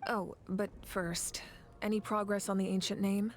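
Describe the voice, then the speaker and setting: A woman's voice: mid-range voice, level tone, a little slow, slight background noise, close to an everyday voice. An actress playing a composed, courteous female leader in a fantasy game, speaking politely and in a businesslike way. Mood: composed, grateful. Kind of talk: scripted fantasy game voice acting.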